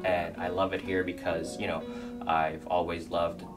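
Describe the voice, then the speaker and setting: Deep-voiced young man, upbeat, guitar behind. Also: little pitch movement, measured pace, recorded from a distance. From Costa Rica. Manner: upbeat, agreeable, laughs often. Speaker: executive assistant, guest. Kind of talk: casual interview chat. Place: small office. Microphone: desk microphone on stand. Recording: music bed under voice.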